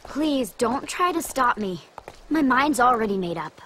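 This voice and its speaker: A woman's voice: on the high side, expressive, unhurried, a bit bright, a little hiss or hum. A young woman voicing an earnest, emotional young woman in an English-dubbed video game drama. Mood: earnest, resolute. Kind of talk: dramatic English dub voice acting.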